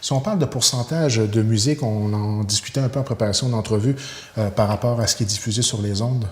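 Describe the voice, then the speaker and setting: A man's voice: on the low side, fast talker, reverberant. A man hosting a TV interview, asking long, affirming questions filled with euh and là. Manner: wordy, affirming, fills with euh and là. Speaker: TV interviewer, host.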